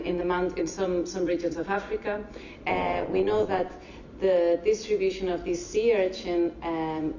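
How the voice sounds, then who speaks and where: A woman's voice: mid-range voice, a little mellow, a little hiss or hum. Spanish-accented woman lecturing in a roomy hall. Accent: Spanish, from Barcelona. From Spain. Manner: deliberately slow, careful explanations. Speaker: marine ecology lecturer. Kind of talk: public science lecture. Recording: echoey lecture hall, distant dull mic.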